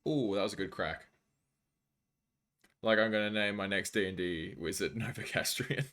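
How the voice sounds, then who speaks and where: A man's voice: fairly low pitch, a bit bright. An Australian man live streaming, chatting casually and jokily with viewers as he reads out their messages.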